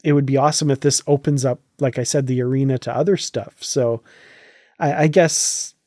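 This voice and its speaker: A man's voice: medium pitch, steady tone, normal speed, warm tone, no room sound, studio quality. A man hosting a casual podcast discussion, talking in an enthusiastic, conversational way. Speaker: podcast host.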